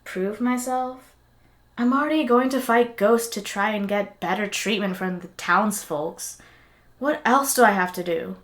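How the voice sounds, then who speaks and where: A woman playing a sarcastic, excitable young tinkerer in an audio drama, snappy and skeptical. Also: mid-range voice, measured pace, lightly acted. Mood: defensive, nervous.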